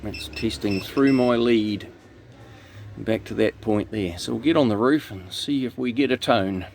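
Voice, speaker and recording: A man's voice: fairly low pitch, unhurried, creaky. A man giving a matter-of-fact, slightly grumbling hands-on commentary as a technician at work in a truck. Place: inside a truck cab and on its roof. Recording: steady background noise, vehicle ambience.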